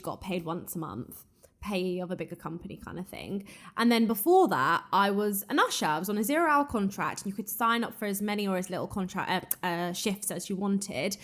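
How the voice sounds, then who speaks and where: A woman in her twenties hosting a podcast, talking frankly and casually with lots of "like" fillers, her accent said to carry a faint northern twang. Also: medium pitch. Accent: southern English with a slight northern twang. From England and Ireland. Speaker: podcast host. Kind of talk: casual solo chat.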